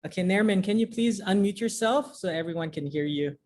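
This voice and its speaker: A man's voice: high-pitched, fairly quick, darkish tone. A man in his forties hosting an online business meeting over a video call, politely calling on attendees one by one. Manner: friendly, methodical, calling on attendees in turn. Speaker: meeting host. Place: indoors, before a branded virtual backdrop.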